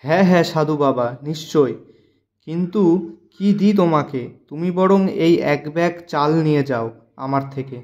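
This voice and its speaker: A man voicing an honest, gentle, struggling village shopkeeper in a cartoon, earnest and pleading in delivery. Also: a little high, normal speed, dull audio, close to an everyday voice. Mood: respectful. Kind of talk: cartoon voice acting.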